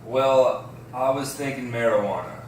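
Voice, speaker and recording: A friendly, easygoing teenage stoner , read by a man at an amateur table read. The voice has an average male pitch. It sounds distant, in a strongly reverberant room with faint noise. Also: unhurried, close to an everyday voice. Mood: friendly, casual. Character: friendly, laid-back teenage boy, a stoner.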